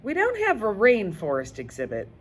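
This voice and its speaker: A woman's voice: medium pitch, huge pitch swings, normal speed, pitched above the performer's own voice. A woman reading a storybook in the part of a helpful, matter-of-fact female museum guide. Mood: helpful, informative.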